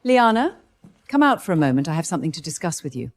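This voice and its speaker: A woman voicing a kindly, worried middle-aged mother who speaks gently and earnestly. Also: sits low, big pitch swings, fast talker.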